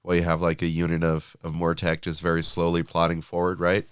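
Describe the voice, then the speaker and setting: A man with a deep, clear and resonant voice chats casually about his wargaming army on a podcast. He sounds slightly sniffly from allergies and fills his talk with easy asides, recorded close and dry. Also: monotone, quick pace, dry recording. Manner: chatty, filler-heavy, laughs easily. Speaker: podcast co-host.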